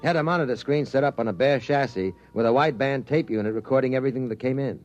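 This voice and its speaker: A man's voice: mid-range voice, fast talker, muffled recording, soft background music, lightly acted. An actor playing a wry, skeptical male reporter who also narrates, in a 1950s radio drama. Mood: narrating.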